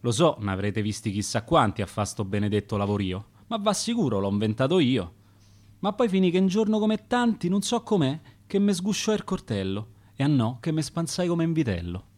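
A man's voice: mid-range voice, big pitch swings, moderate pace, a little mellow, lightly acted. A man with a Roman accent playing a boastful male knife grinder in dialect verse for a radio play.

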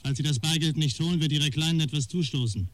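An actor playing a menacing blackmailer in a radio crime drama, giving harsh, clipped commands. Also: male voice, a little high, fast talker, lightly acted. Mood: threatening. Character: menacing male blackmailer, curt and threatening.

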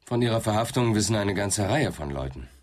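Ein Schauspieler spielt in einem deutschen Krimihörspiel einen ruhigen, direkten Polizeiinspektor, der knapp und nüchtern nachfragt. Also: male voice, lowish voice, animated, a little fast, natural voice. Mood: matter-of-fact. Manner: sober, terse, probing. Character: calm, blunt male police inspector questioning people. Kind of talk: German radio crime drama acting.

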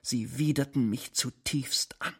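A man reading a first-person short story dramatically, with deliberate phrasing and shifts in volume. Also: mid-range voice, fairly bright tone, husky.

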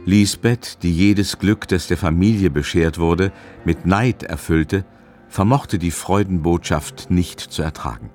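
Deep-voiced male radio play narrator. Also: unhurried, a little mellow.

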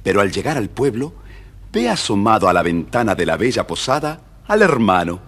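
A man with a husky voice narrating a children's fairy tale dramatically, as a scripted storyteller. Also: on the low side, big pitch swings, normal speed, a bit bright.

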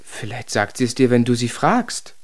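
A man's voice: medium pitch, big pitch swings, normal speed, natural voice. An actor playing an evasive man of about thirty in a naturalistic radio drama, hedging and soothing like a placating friend. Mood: hesitant, placating. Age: 30s.

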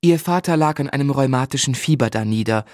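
Male radio-play narrator an actor, reflective, literary, mid-pitched, closely recorded in a dry studio. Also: fairly quick, a little mellow, no room sound, close to an everyday voice. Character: reflective gentleman narrating his own life story.

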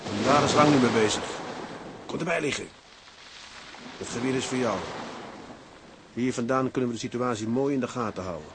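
Man acting seasoned sailor on watch, audio drama, matter-of-fact explaining, mid-pitched, loud outdoor background noise. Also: normal speed, long pauses, natural voice. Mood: brisk, watchful. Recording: very noisy outdoor sound-effects bed.

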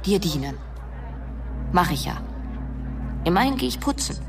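A woman's voice: lowish voice, big pitch swings, moderate pace. An actress in her early thirties playing a broke, self-doubting young woman, narrating a radio drama in a reflective, literary way. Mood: defensive. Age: early 30s. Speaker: narrator and lead character. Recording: music behind the voice.

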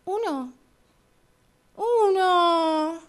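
Very high, animated woman. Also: extremely slow. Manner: repeats words emphatically. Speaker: radio drama workshop student. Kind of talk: acting exercise, reciting colours and numbers expressively.

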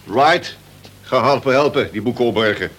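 An impatient prison warder in a radio drama. He gives curt commands in a husky voice of medium pitch. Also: animated, moderate pace, fairly bright tone. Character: brusque male prison guard, impatient, commanding. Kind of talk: Dutch radio play acting.

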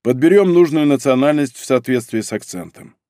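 A man's voice: mid-range voice, lively intonation, a little fast, warm tone, no echo, natural voice. A loyal male serpent spirit from a spoken storybook, earnest and deferential toward his master, read by a man in his own plain voice. The delivery is close and dry, with no room sound around it.

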